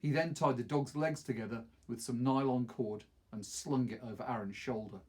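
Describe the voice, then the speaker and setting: A middle-aged man with a husky voice, reading a novel aloud expressively. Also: medium pitch, normal speed. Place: small wood-panelled study, indoors.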